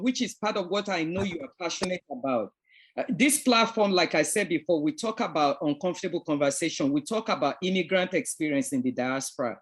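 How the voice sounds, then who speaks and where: Podcast host, earnest and opinionated, mid-pitched voice. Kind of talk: interview conversation.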